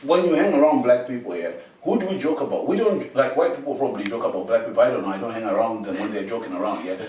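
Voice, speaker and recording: A man's voice: medium pitch, fast, a little mellow. A man from Zimbabwe talking casually and passionately in a podcast discussion, pressing his points. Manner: passionate, argumentative, speeds up when pressing a point. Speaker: podcast panelist. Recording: roomy, distant mic, faint noise.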